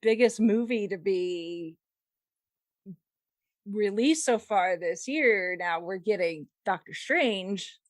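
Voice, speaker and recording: A woman chatting casually about films as a podcast panel host, often halting and trailing off mid-sentence. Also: medium pitch, dry recording, studio quality. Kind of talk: casual film discussion.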